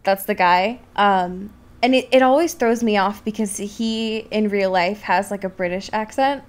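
A woman's voice: medium pitch, fairly even intonation, a little slow. A woman co-hosting a podcast, explaining things in casual, informal chat with some swearing. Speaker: podcast co-host. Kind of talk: casual film discussion chat.

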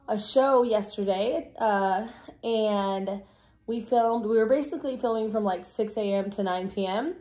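A woman in her thirties hosting a casual vlog, raspy and low on energy from a cold, chatting with many fillers in her living room. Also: a little mellow. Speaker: vlog host. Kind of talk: casual chat to camera.

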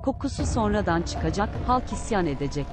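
A woman's voice: mid-range voice, animated, fairly quick, lightly acted. A woman playing an imperious, shrewd serpent queen in a cartoon fairy tale, commanding and stern. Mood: stern, knowing. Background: prominent music.

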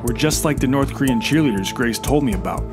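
A man narrates a vlog in a scripted voice-over, and his tone is wry and sarcastic. His voice sits at a medium pitch. Loud music, with guitar in it, plays under his narration. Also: lively intonation, brisk. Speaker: vlogger, host.

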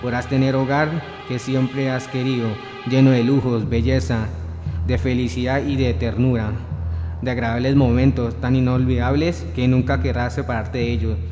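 A young man playing a sly devil disguised as a vagabond in a radio drama, measured, solemn and persuasive. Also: medium pitch, flat delivery, lightly acted. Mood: tempting, solemn. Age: young adult. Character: sly devil in guise of vagabond, tempting, grandiose. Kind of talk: student radio drama reading. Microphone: shared handheld microphone. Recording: echoey hall-like room, music behind.

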